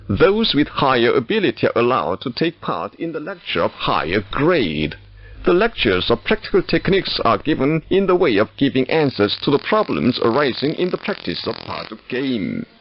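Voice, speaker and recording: A man reads formal state news slowly, with stately emphasis and a voice that swoops widely in pitch. The sound is muffled and lo-fi, as if caught over shortwave radio. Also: very animated. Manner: slow, measured delivery with stately emphasis. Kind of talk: formal scripted news reading.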